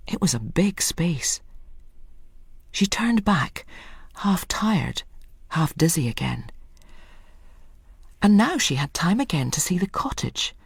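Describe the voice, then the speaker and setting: A woman reads literary fiction as a dramatic narrator. Her voice is low and husky, with animated pitch movement across carefully scripted phrases. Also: no room sound, studio quality. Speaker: story narrator.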